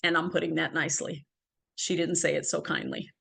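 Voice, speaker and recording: A woman's voice: deep voice, wildly swooping pitch, brisk, gravelly, fairly bright tone, breathy edge. A woman guest telling her personal story in an interview, earnest and full of ums and restarts, on a video call from home. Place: home, by a ring light.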